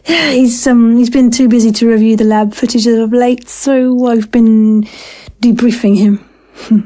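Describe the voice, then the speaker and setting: A woman playing a cold, zealous war-veteran doctor in an audio drama, speaking in a halting, pointed, condescending way. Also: a little high, steady tone, warm tone, lightly acted. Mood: falsely sweet, veiled threat.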